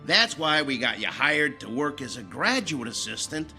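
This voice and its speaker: An actor playing an impatient, sardonic newspaper editor in a radio drama, bossy and gruff in manner. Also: male voice, mid-range voice, big pitch swings, normal speed, bright, forceful tone, soft background music, full character voice. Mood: impatient, commanding. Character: brusque, impatient male newspaper editor giving assignments.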